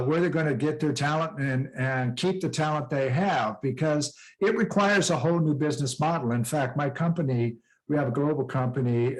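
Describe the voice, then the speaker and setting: Casting: middle-aged American man, mid-pitched, rambling, full of "uh"s, chatting on a video call. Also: rough recording. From USA. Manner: rambling, halting with many fillers and restarts. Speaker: guest, author and business coach. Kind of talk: casual interview talk.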